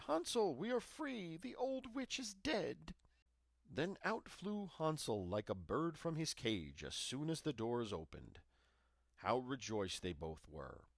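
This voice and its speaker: A man's voice: fairly low pitch, dry recording, clean studio sound. A man reading a fairy tale as a storyteller, in a dramatic scripted narration.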